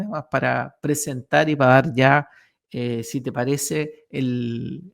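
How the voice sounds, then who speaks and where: A man co-hosts a radio conversation, his voice sitting a little high for a man. He hesitates often, filling gaps with "eh", and moves between Spanish and Portuguese. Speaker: radio show co-host. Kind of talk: radio interview hosting.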